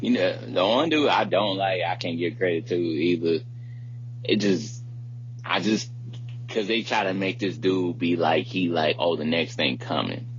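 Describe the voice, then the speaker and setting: A man's voice: deep voice, a little slow. A man hosting a podcast, talking casually and bluntly about music in slangy, informal speech. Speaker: podcast host. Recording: muffled podcast audio with light hum.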